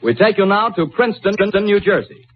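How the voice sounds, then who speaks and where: A man's voice: high-pitched, fast, dull audio, natural voice. A male actor playing a formal, clipped American news announcer in a 1930s radio drama, on a scratchy old broadcast recording. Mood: urgent formal. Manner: formal, clipped, precise delivery.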